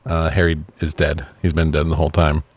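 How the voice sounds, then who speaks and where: A man with a very low voice talks through a film as a podcast co-host. His delivery is casual and sweary, and the recording is dry and close. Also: flat delivery, a little fast, no room sound. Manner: informal, sweary. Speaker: podcast co-host reviewing films. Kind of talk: casual film review chat.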